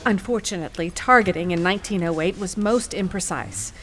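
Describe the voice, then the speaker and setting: A woman playing a determined attorney in an audio courtroom drama, speaking formally and deliberately. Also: on the low side, sing-song, fairly bright tone, a little hiss or hum. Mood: dramatic. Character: poised, determined female attorney arguing in court.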